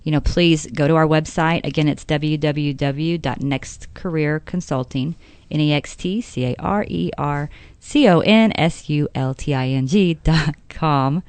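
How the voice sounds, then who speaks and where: A woman's voice: low-pitched, unhurried, a little mellow, clear, resonant tone, dry recording. A woman hosting a casual radio talk show, chatty, laughing often and using many fillers.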